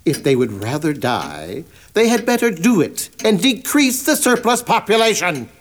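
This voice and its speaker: A man's voice: high-pitched, wildly swooping pitch, moderate pace, heavily acted. An actor playing a bitter, miserly old businessman in a radio drama, scornful and curt. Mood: scornful, grudging. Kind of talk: family radio drama acting.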